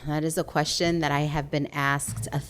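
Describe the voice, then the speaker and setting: Low-voiced woman from Chicago. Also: brisk. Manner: animated storyteller, acts out quotes. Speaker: theater director and guest. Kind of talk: casual interview chat.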